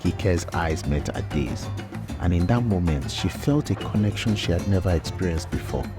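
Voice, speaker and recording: Man reading a folktale, real deep dark mellow voice, bit of music underneath. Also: expressive, normal speed. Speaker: narrator. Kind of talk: scripted folktale narration.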